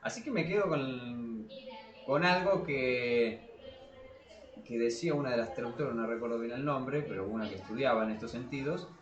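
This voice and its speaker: Male podcast co-host, Argentine Spanish, average pitch, halting and conversational, recorded with roomy echo. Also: muffled recording, a crowd in the background. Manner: halting, repeats words as he thinks aloud. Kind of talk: casual discussion. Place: small cramped room below a staircase. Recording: roomy echo of a small room.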